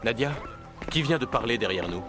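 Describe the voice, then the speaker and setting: A man voicing a protective young cartoon hero who explains things gently, like an older brother. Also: mid-range voice, animated, a little fast, close to an everyday voice. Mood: watchful. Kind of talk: French cartoon voice acting. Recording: music behind the voice.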